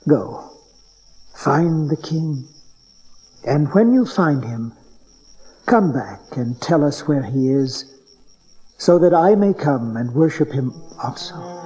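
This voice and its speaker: Scheming king, falsely pious, grandiose, mellow, unhurried, Bible drama, dull tape sound. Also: a little high, expressive. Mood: calculating. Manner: measured, grandiose oratory. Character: smooth-tongued scheming king feigning piety.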